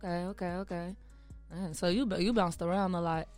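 A woman with a slightly low voice, working as an interviewer. She keeps the chat casual and slangy and often affirms in an agreeable way. Music plays faintly in the background. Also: fairly even intonation, brisk, a little mellow.

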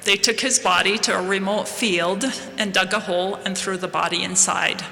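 A woman, from Palestine, giving a slow, measured, earnest devotional address to a congregation. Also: medium pitch, steady tone, creaky, pushed and bright. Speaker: guest speaker at a church devotional. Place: large historic hall. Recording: long reverb of a large hall.

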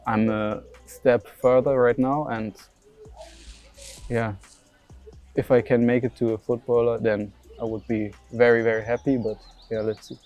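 A man's voice: mid-range voice, level tone, unhurried, highs cut off, faint music behind. A young man from Germany answering interview questions about his football career, hesitant, with many fillers and restarts. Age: young adult.

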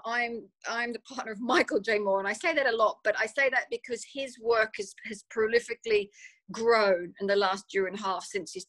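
Australian woman, author guest, chatty and rambling, mid pitch. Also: bright, forceful tone. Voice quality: chatty, repeats words often, many fillers. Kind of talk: casual interview answers.

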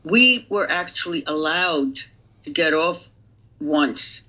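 Slow, deliberate elderly woman, muffled recording. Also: low-pitched, expressive, sharp tone. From Romania. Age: 80s. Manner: deliberate, halting, grave. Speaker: interview guest. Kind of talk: personal testimony.

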